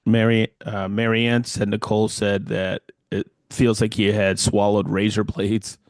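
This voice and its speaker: A man's voice: on the low side, level tone, no room sound. A man co-hosting a podcast, chatting casually and chiming in with short reactions. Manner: short reactions and quick interjections. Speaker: podcast co-host. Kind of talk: casual chat.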